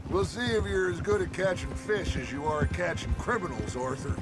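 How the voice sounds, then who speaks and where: An actor playing a confident, genial middle-aged outlaw gang leader in a western game, with a grandiose, wordy, commanding manner. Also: male voice, sits high, expressive, fairly quick, music in the background. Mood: amused, storytelling. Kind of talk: cinematic western game acting.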